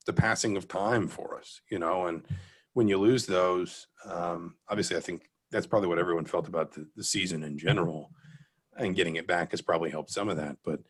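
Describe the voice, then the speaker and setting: A man's voice: low voice, fairly bright tone. An American man from Georgia answering as a guest sports writer, reflective and careful, often circling back mid-sentence. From Atlanta, Georgia, US. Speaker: guest sports reporter, newspaper beat writer. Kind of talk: interview answers, sports talk.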